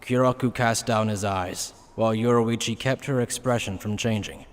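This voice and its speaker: A man's voice: on the low side, fairly even intonation, moderate pace. A man reading dramatic scripted story narration.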